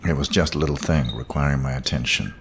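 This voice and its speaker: An actor playing a cold, laconic professional hitman in a game cutscene, talking in a terse, deadpan way. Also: extremely low pitch, fast, heavy background noise. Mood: cool, businesslike. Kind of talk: cinematic game cutscene acting.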